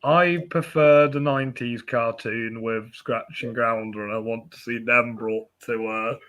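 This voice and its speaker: A man hosting a film podcast, chatting casually and haltingly, with false starts along the way. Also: medium pitch, fairly even intonation, a bit bright.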